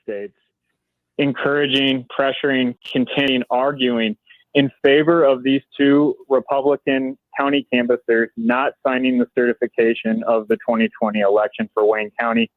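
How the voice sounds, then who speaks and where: A man giving a measured, explanatory answer in a broadcast interview clip. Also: medium pitch, level tone, no room sound. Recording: tinny phone-like played clip.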